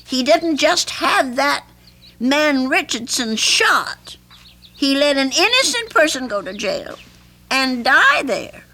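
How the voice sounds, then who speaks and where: An actress playing an anxious, earnest older woman with a troubled conscience in a radio drama, speaking haltingly. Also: high voice, sing-song, bright, forceful tone, heavily acted. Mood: troubled, confiding. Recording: faintly noisy archival radio recording.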